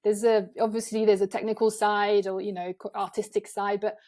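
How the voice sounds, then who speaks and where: A woman's voice: medium pitch, level tone, fairly quick, warm tone. A woman from France with a French accent, a photographer guest chatting casually in a podcast interview, with frequent ums and restarts.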